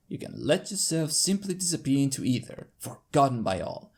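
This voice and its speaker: Expressive narrator with a gravelly edge. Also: mid-range voice. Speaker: fanfiction narrator. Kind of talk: scripted first-person audiobook narration in character.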